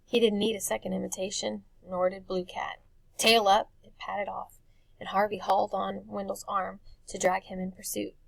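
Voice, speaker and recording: Creaky-voiced woman narrating a story. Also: medium pitch, little pitch movement, normal speed, dry recording, poor audio. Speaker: audiobook narrator. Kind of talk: expressive scripted narration of a children's dark fantasy story.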